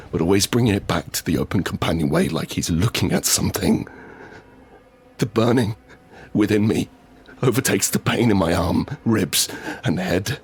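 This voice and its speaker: An actor playing a desperate, vengeful father who narrates his post-apocalyptic survival in an intense, brooding, deliberate manner. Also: sits low, husky, full character voice. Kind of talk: first-person scripted audio drama narration.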